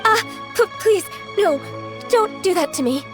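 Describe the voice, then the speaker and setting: Gentle, anxious, high-voiced young woman. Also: animated, a little slow, music in the background. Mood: flustered. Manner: hesitant, courteous, earnest. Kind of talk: video game cutscene acting.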